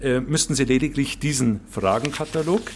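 A man's voice: mid-range voice, fairly quick, a little hiss or hum, close to an everyday voice. A man playing a pompous, fussy heritage official who talks in stilted, hesitant, bookish officialese in a drama. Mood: pedantic, regretful.

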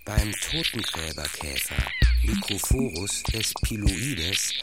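A man's voice: sits low, sing-song, slow. A man reading scripted scientific narration in a factual, lecturing manner, like a documentary text. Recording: voice over loud electronic music. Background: electronic music with insect-like chirping.

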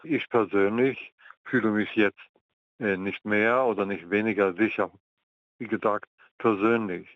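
An older man from the Mandate of Palestine, speaking as a historian in an interview, measured and pausing often with ums. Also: lowish voice, fairly even intonation, no room sound. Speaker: guest historian. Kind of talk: interview answers. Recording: tinny phone line, dry.

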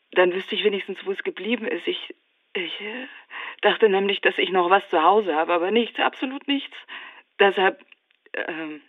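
An actress playing a panicked, sleepless patient who stammers and repeats herself while pleading on a doctor's voicemail, through a telephone filter. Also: female voice, on the low side, no echo. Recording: tinny narrow phone line, dry.

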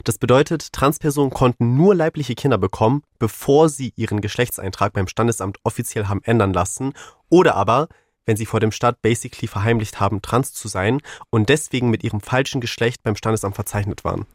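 A man's voice: medium pitch, fairly quick, dry recording, clean studio sound. A young man co-hosting a casual podcast, laid-back and often dropping English phrases into his talk. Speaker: podcast co-host. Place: studio.

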